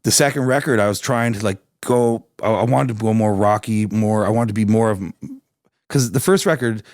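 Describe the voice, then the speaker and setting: A raspy-voiced man from Canada, chatting loosely as an interview guest with lots of restarts and fillers. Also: fairly low pitch, fairly quick, darkish tone, no room sound, clean studio sound. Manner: rambling, many restarts and fillers. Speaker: singer-songwriter guest. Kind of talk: casual interview chat.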